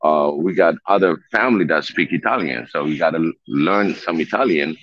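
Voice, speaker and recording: A man hosting a casual discussion, explaining things in a calm way with fillers. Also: very deep voice, fairly quick, no echo. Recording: dry, dull lo-fi sound.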